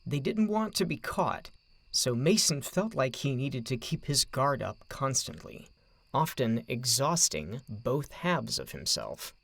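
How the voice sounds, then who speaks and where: A man reads a dramatic story as its narrator. His pitch moves expressively over a wide span, and his voice carries a gravelly creak. The recording is close and dry, and his phrasing is measured. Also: big pitch swings, fairly bright tone, no echo.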